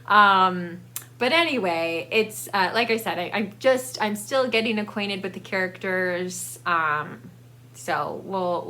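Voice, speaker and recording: An American woman chats informally as a book vlogger. Her voice is bright and forward, and she takes her time, rambling along at a slow pace. She is confiding and pokes gentle fun at herself. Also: mid-range voice. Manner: rambling, self-deprecating, confiding. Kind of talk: casual chatty book vlog.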